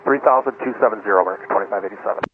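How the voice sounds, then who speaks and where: A man talks as an airline pilot in very fast, procedural bursts. He is heard over a narrow, tinny cockpit radio with faint noise behind him. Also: lowish voice. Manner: clipped, procedural phraseology. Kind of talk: radio readbacks to air traffic control.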